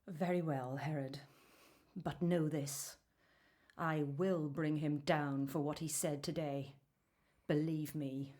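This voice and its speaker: A woman's voice: low-pitched, steady tone, slow pace, dark tone. An actress playing a haughty, vindictive queen in a biblical radio drama, speaking with imperious scorn. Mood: scornful, threatening.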